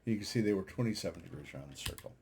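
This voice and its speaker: A man's voice: sits low, animated, rapid-fire, gravelly, a little mellow, a little hiss or hum. A man giving a casual, unscripted commentary over charts, hesitant and thinking aloud as he goes. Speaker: amateur radio operator and video host. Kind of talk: casual screen-share commentary on data charts.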